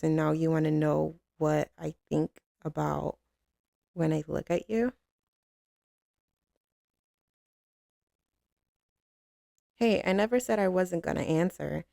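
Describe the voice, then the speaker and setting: Casting: woman as a commanding female mafia boss, slow drawl, dark velvety tone, tough but soft on her man, intimate ASMR roleplay. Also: lowish voice, creaky, no echo, studio quality. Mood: teasing, playful. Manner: drawling, teasing, streetwise, with pet names.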